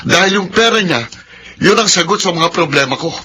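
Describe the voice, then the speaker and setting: An actor playing a resentful, demanding college-age son in a radio drama, reproachful, on a noisy recording. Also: male voice, high voice, expressive, fairly quick. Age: young adult. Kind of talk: Filipino radio drama acting.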